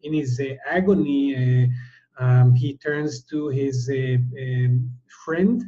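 Halting husky man. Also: mid-range voice. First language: Hebrew. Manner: halting, many fillers and restarts. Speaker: guest, translator. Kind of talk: interview answer. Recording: dull, muffled sound.

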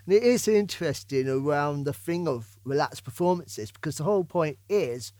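A man's voice: a little high, lively intonation, moderate pace, a little mellow, no echo. A man hosting a casual podcast interview, informal and ready with his own opinions.

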